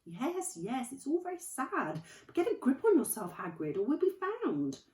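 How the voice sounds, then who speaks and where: Brisk, prim older witch teacher, high and sharp, put on by a woman reading aloud; echoey distant recording. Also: sing-song. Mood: urgent. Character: stern older female witch professor. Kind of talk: storybook read-aloud. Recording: roomy, from off the mic.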